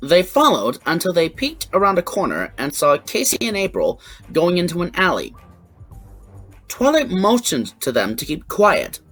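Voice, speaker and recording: Low, husky, lively woman narrator. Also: normal speed, poor audio. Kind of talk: scripted fan fiction narration. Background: background music.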